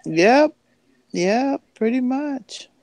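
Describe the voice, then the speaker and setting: Slow gravelly man, lively pitch. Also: no echo, low-quality recording. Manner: slow, drawn-out, trailing off. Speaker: video call participant. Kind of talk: casual chat.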